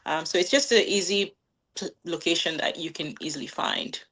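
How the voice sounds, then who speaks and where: Woman in her 30s from nigeria, bit low voice, easygoing, lots of ums and likes. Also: normal speed, fairly bright tone. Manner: friendly, laughs often, many "um"s and "like"s. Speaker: guest, new business owner. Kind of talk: casual interview answers.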